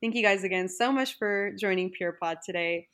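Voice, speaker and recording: A woman's voice: medium pitch, fairly quick, a bit bright. A woman podcast host closing the episode, friendly and effusive in her thanks. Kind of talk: podcast wrap-up.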